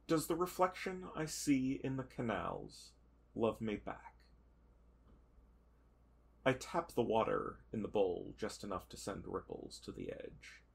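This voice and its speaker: Low, gravelly man narrating in character. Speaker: tabletop roleplay player. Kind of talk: dramatic first-person character narration.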